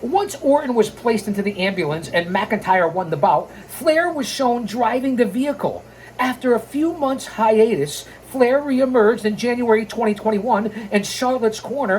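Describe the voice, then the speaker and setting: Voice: medium pitch, a little mellow, slight background noise, low-quality recording. An opinionated show host who reads a scripted wrestling biography and breaks into blunt, sarcastic, informal asides with swearing.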